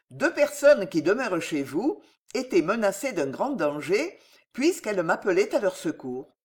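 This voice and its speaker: A woman's voice: mid-range voice, husky. A woman voicing a courteous, resolute young male chevalier in an audiobook story, formal and probing. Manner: formal, polite, questioning. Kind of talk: storybook character acting.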